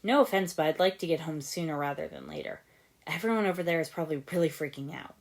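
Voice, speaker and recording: A woman's voice: low voice, quick pace, gravelly. A woman voicing a polite, earnest young boy ninja in an audiobook story. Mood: earnest, anxious. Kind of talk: fan fiction audiobook character voice.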